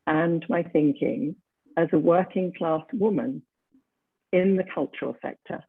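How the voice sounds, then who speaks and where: Woman in her seventies, guest speaker, husky and frank, heard over a thin dry phone-quality line. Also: low voice, dry recording. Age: 70s. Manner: candid, reflective, laughs easily. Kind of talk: talk on a video call. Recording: dry, phone-like, tinny call audio.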